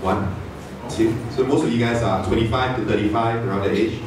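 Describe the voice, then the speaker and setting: A man's voice: mid-range voice, steady tone, a little fast. A Malaysian man in his late twenties, founder, giving a chatty, self-deprecating conference talk full of fillers and restarts. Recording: echoey hall, mic far off, noisy.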